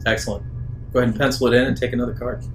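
A man narrating an improvised tabletop roleplaying game as game master, in a measured way with hesitant pauses. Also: mid-range voice, little pitch movement, faint music behind. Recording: roomy echo, faint background noise.

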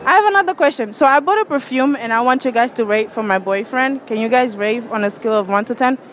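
Young woman holding a phone out at strangers, kinda high voice, lots of "like" and "um", loud street and mall noise behind her. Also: fast. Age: young adult. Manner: chatty, lots of "like" and "um" fillers. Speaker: prank interviewer. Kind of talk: street prank interview. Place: outdoors and in a shopping mall, by storefronts and a parking lot. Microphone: handheld phone held out to people. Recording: noisy street and mall background.

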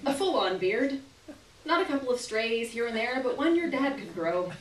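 A young woman reads a story on stage in an animated, swooping voice, pausing deliberately for effect. Her voice is on the high side. The sound is roomy, picked up from some way off in a small room. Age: young adult. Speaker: performer reading a short story aloud. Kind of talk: live dramatic literary reading. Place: small gallery room, live stage reading. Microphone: stand microphone, recorded by distant camera. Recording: roomy, distant camera audio with hiss.

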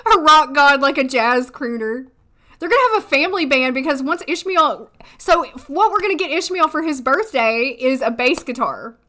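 A woman in her thirties, hoarse today, chatting casually and thinking aloud as she streams a life-sim game from a desk at home. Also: high-pitched, expressive, normal speed, bright, forceful tone. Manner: chatty, thinking aloud, frequent little laughs. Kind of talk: casual gameplay commentary. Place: home room, seated at desk.

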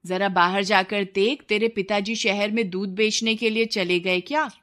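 A woman's voice: mid-range voice, steady tone, moderate pace, fairly bright tone, lightly acted. A woman voicing a greedy, complaining village wife in a children's cartoon story, with an insistent, taunting manner. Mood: bossy. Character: nagging, greedy village wife, dissatisfied and scheming.

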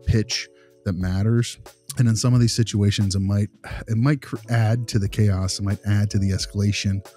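A man playing a patient behavior consultant who gives feedback in a halting, rambling, reassuring, conversational way. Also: on the low side, warm tone, lightly acted. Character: male behavior consultant, patient, diplomatic, encouraging. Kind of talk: conversational role-play of a consultation.

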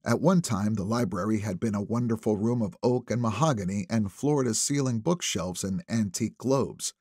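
A man's voice: fairly low pitch, normal speed, a little mellow, no room sound, studio quality. A man reading a thriller novel as scripted audiobook narration.

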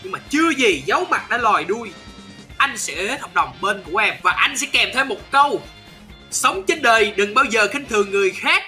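A man voicing a proud, self-important company chairman in an animated comedy skit, dramatic in delivery, with music behind. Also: high voice, fairly quick. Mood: smug, preachy. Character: proud chairman posing as poor job seeker. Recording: background music under the voice.

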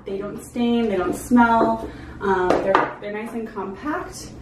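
Young woman, informal vlog review, chatty, distant mic, clear background noise. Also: on the high side, fairly even intonation, slow, echoey room. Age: young adult. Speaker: food vlogger reviewing products. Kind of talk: casual product review to camera. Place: small indoor room, seated at a table.

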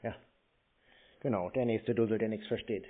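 A man's voice: lowish voice, steady tone, normal speed. A man talking bluntly and sarcastically in a confrontational phone conversation. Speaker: scam-baiter prank call host. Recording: tinny narrow phone-like sound.

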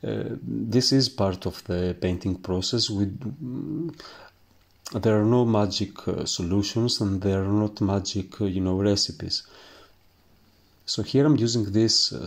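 A man from Greece with a thick Greek accent, a painting teacher talking through his work in a halting way and often searching for words. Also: fairly low pitch, vocal fry. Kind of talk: instructional voice-over while painting.

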